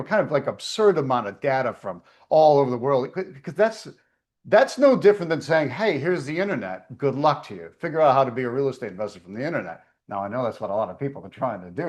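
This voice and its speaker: A man hosting an online meeting, presenting in a chatty, storytelling way. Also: fairly high pitch.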